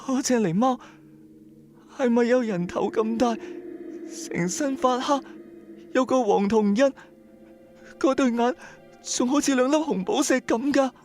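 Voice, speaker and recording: A man plays a demanding, suspicious wife in a radio ghost drama. The voice is pushed high and shrill, with a nagging edge, over background music. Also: a little slow, pauses often, darkish tone. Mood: frightened, shaken. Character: fierce, suspicious wife interrogating and later terrified for her husband.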